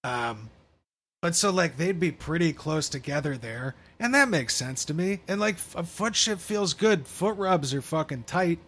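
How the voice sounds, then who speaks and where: A man co-hosting a podcast in casual, profane chat, rambling with halting restarts. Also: a little high, lively intonation, a bit bright. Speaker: podcast co-host.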